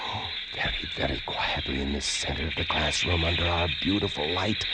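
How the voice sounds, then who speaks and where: A man's voice: extremely low pitch, fairly quick, very noisy. A man acting as a haunted lighthouse keeper, narrating a vintage radio horror tale in the first person, savoring its gruesome details. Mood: horrified, hushed. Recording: vintage radio drama with music behind.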